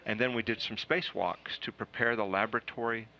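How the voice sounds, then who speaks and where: A lower-pitched man with a gravelly, bright-edged voice explains things casually, tripping over restarts and fillers. The sound is muffled and lo-fi, like an old broadcast feed. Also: steady tone. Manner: halting, lots of uh and repeated starts. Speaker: astronaut answering questions.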